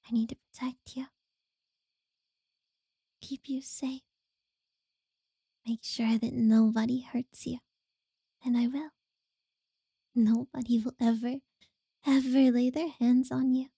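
A woman voicing an obsessive, possessive captor in a whispered audio roleplay, slow and unsettlingly sweet. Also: fairly high pitch, flat delivery, no room sound, clean studio sound, heavily acted. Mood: creepy tender. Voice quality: soft breathy whisper.

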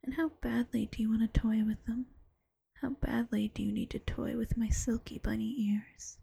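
A woman voicing an affectionate, teasing bunny-girl girlfriend in a slow, coaxing ASMR whisper. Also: fairly high pitch, fairly even intonation, gravelly, warm tone, husky, full character voice. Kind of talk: ASMR roleplay.

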